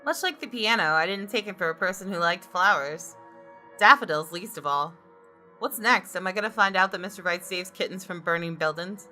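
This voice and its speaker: Wry professional woman, narrating a game story, forceful bright tone, lowish pitch, music underneath. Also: a little fast. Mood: wry, surprised. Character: wry, observant professional female architect and designer. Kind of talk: visual novel narration read in character.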